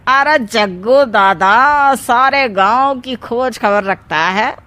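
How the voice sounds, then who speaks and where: A woman's voice: a little high, slow, sharp tone. A woman voicing a swaggering, falsely chummy village gangster man in a cartoon story, with a boastful, oily manner. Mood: smug, chummy. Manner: boastful, oily, punctuated with chuckles. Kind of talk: cartoon moral-story acting.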